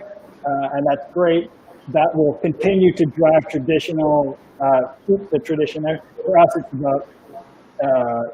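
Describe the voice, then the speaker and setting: A man's voice: fairly high pitch, slight background noise. A man being interviewed about his company, talking casually with frequent fillers and restarts over a video call. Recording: muffled lo-fi audio.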